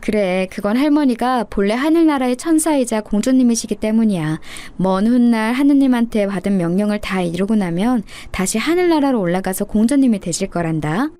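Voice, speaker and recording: Woman acting patient mother, tender, slightly high pitch, fairy-tale telling to a child, radio-story character. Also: expressive, brisk, hardly any pauses, warm tone, natural voice.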